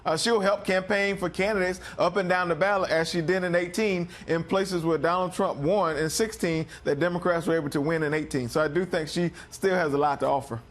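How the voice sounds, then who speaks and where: Male American strategist, fairly high voice, politely insistent in panel debate. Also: fairly even intonation, brisk, few pauses.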